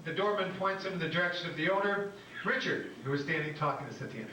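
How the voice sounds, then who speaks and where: Male narrator, amused, high-pitched, live script reading, reverberant, distant mic. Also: quick pace, bright, forceful tone. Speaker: narrator reading stage directions. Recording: echoey room, distant mic, faint noise.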